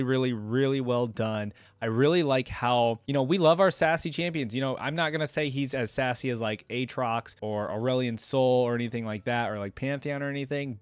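A man's voice: medium pitch, clear, resonant tone, dry recording. A man, hosting a reaction video with casual commentary to his viewers. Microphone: close desk microphone.

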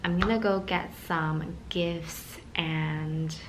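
A woman's voice: deep voice, steady tone, slow pace. A woman, chatting casually to camera as a vlogger at home. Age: 20s. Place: indoors at home, living room or bedroom. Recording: noticeable background noise.

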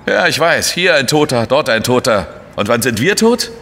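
An actor playing a weary, irritable investigator who narrates a German crime radio drama in a blunt, sardonic way. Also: male voice, medium pitch, sing-song, normal speed, fairly bright tone. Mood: agitated, fed up.

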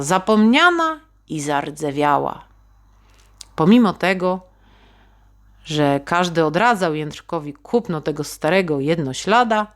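A woman tells a story for children with dramatic expression. Her pitch sits a little low and her delivery is very unhurried. The intonation swings widely as she speaks to her young audience. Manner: dramatic, addresses young listeners. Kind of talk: expressive bedtime-story narration in Polish for children.

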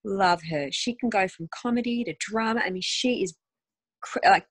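A woman's voice: mid-range voice, moderate pace, dry recording, clean studio sound. A woman in her thirties with an Australian accent, chatting as an interview guest over a phone video call from home, with lots of ums and restarts. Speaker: guest actress. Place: home room, indoors.

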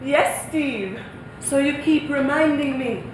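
A haughty, disapproving upper-class wife in a stage comedy. She speaks slowly and precisely in a high voice, echoing around a theatre. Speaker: stage actress. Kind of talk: comedic stage play acting. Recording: echoey noisy theatre stage recording.

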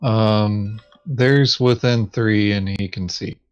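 A man's voice: fairly low pitch, takes it slowly, clear, resonant tone. A man playing a board game, chatting casually with droll, joking asides. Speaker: tabletop game player. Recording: dull, lo-fi microphone sound.

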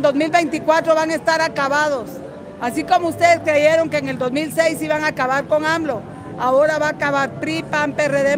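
High-pitched, defiant older woman activist. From Guatemala. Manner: combative, defiant, salty-tongued. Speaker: political activist being interviewed at a rally. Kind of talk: impassioned street political interview. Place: busy city street outdoors. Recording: outdoor street crowd noise, music behind.

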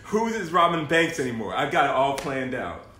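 A man's voice: mid-range voice, big pitch swings, moderate pace, sharp tone. A man playing a broke, cocky slacker boyfriend in an amateur comedy table read, slangy and full of boastful excuses. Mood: casual, boastful. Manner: slangy, boastful, self-justifying. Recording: echoey room, faint noise.

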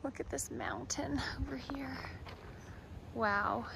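A woman's voice: on the high side, very animated, slow pace, gravelly. A woman in her twenties casually narrating a travel vlog on a phone, effusive and full of wonder. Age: 20s. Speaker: hiking vlogger. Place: outdoors on trails and in a car. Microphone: handheld phone at arm's length. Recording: noisy outdoor ambience and wind.

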